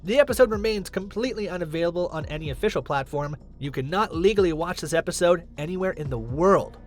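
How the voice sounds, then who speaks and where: High-pitched, lively male countdown narrator over music. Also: fast talker. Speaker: video narrator, list countdown host. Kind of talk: scripted narration.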